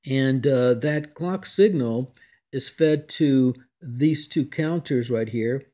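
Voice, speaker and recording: A man giving an unscripted technical demonstration as an electronics hobbyist, explaining in a measured, halting way. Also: mid-range voice, warm tone.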